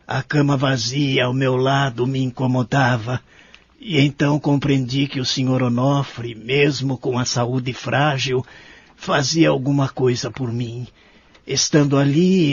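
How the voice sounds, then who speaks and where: A man's voice: medium pitch, breathy edge, no room sound. A Brazilian man playing a lonely, remorseful elderly care-home resident who narrates his story in an audio drama, somber and reflective. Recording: dull lo-fi audio with music behind. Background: background music.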